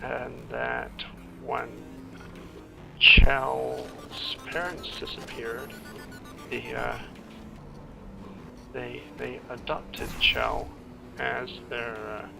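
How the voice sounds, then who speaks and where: A man with a creaky, gravelly voice of middling pitch rambles casually through a game playthrough. He halts and drifts between thoughts while game music plays clearly behind him. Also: little pitch movement, poor audio. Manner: rambling, halting, trails off mid-thought. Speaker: gameplay commentator, screenwriter. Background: video game music.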